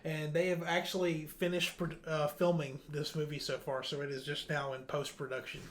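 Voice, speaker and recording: A man with a fairly high voice, recorded in a noticeably echoey room. He hosts casually, reading out entertainment news and chatting between items as on a podcast. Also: flat delivery, normal speed. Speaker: host of a video game news podcast. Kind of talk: casual news rundown and chat.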